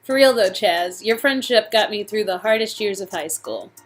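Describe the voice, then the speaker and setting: A woman's voice: medium pitch, expressive, normal speed, soft background music, lightly acted. A woman playing an earnest, modest young aspiring actress, chatting a little hesitantly with an old friend in comedic dialogue. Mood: sincere, doubtful. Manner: giggly, hesitant, self-effacing. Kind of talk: improvised comedic dialogue performance.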